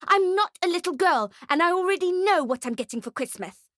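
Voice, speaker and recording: A woman voicing a mean, demanding rich little girl in a storybook, defiant and petulant, given to shouting. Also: sits high, big pitch swings, a little slow, no room sound, studio quality, pitched above the performer's own voice. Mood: rude, shouting.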